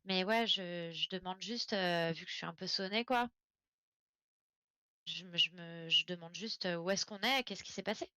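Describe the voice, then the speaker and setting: A woman's voice: lowish voice, moderate pace, pushed and bright, dry recording, studio quality. A woman playing a tabletop role-playing game, describing her actions in casual, conversational speech.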